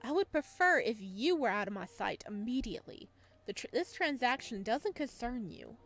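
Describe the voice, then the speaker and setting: A woman voicing a gentle female pharmacist from a visual novel, soft-spoken and pointed, icily disapproving of her rival. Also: a little high, expressive, normal speed, faint music behind. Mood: cold, hostile.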